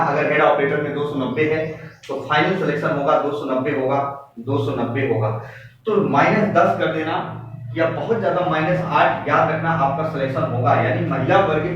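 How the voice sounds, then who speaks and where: A man with a fairly high voice teaches students in an informal, insistent style, repeating his points. He sounds set back from the microphone in a heavily echoing room. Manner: emphatic, repetitive, directly addressing listeners. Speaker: exam coaching teacher. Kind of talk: informal exam-update lesson for students. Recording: very echoey room, distant mic, dull, faint noise.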